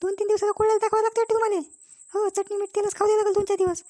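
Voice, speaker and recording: A nagging village wife in a comic cartoon, squeaky-high and very fast. She scolds on one flat note in a rural Maharashtrian accent. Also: no echo, clean studio sound. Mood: indignant. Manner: nagging, threatening.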